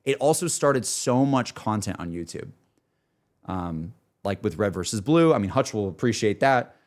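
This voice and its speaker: A man's voice: lowish voice, lively intonation, moderate pace, darkish tone. Enthusiastic young man rambling on stream. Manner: enthusiastic, self-deprecating. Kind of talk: casual livestream chat, rambling gaming talk.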